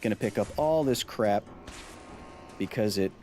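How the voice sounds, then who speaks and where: A man doing live gameplay commentary, goofy and excitable, who shouts at the game. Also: on the low side, a little slow, soft background music. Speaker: streamer.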